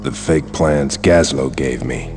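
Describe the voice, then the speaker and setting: Gruff young orc hero, low-pitched, unhurried, terse, wry, video game voice, music behind. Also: male voice, heavily acted. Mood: musing. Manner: terse, deliberate, musing aloud. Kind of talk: video game character acting.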